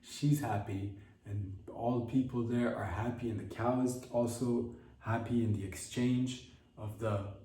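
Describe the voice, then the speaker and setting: A man's voice: medium pitch, steady tone, unhurried, warm tone. A man hosting a comedy podcast, rambling through a loose monologue full of restarts and asides. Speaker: podcast host. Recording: roomy, distant from mic.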